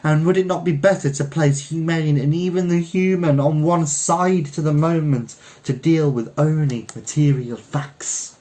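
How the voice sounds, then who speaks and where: Man acting forceful old French orator, raised pitch. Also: a little slow, hardly any pauses, lightly acted. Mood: forceful, insistent. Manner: insistent, declamatory. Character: old bald French poet orating from a crate, forceful. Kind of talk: impassioned public oration within a story reading.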